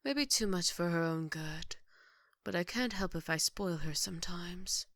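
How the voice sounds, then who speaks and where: A woman playing a strict, dryly sarcastic chief maid in an ASMR roleplay, speaking in a formal, measured, scolding way. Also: fairly low pitch, a bit bright, no room sound, studio quality. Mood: composed, fond.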